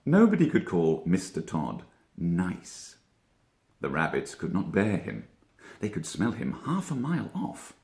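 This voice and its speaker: Very animated male storyteller, slightly low-pitched. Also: gravelly, reverberant. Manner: expressive, deliberate dramatic phrasing. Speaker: actor reading a children's story. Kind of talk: theatrical storybook narration.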